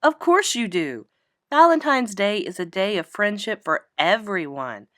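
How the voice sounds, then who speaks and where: Woman playing a firm, exasperated kindergarten teacher, animated. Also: mid-range voice, a little slow, no echo, studio quality. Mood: patient, firm. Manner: firm, explanatory, emphatic. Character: patient but exasperated female kindergarten teacher.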